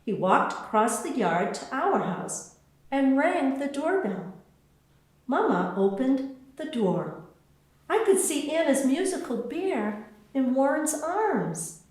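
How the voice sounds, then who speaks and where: The voice is a woman's, reading a picture book aloud. She goes slowly and deliberately, with a wide, lilting range that shapes each phrase for young listeners. The room around her rings with heavy echo. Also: high voice. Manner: slow, deliberate, expressive phrasing for children. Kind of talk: scripted storybook narration. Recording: very echoey, roomy sound.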